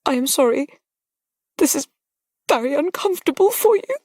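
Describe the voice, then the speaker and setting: A woman's voice: high-pitched, expressive, measured pace, no room sound, studio quality, voice pushed up. Woman doing a tearful, breathless young lady. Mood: distressed, tearful. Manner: breathless, tremulous, pleading. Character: young fragile tearful noblewoman, anxious. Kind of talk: audiobook character voice.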